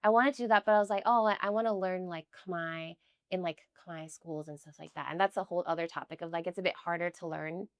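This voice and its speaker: Young american woman, chatty and earnest, says like a lot, lowish voice. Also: fairly even intonation, fast talker, clear, resonant tone, no room sound, studio quality. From United States. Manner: chatty, many "like" fillers, earnest. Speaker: guest. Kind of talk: casual conversational interview.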